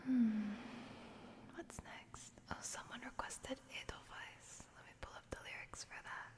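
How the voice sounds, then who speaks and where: A woman streamer whispering softly to her chat during a lullaby ASMR stream. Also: a little high, steady tone, measured pace.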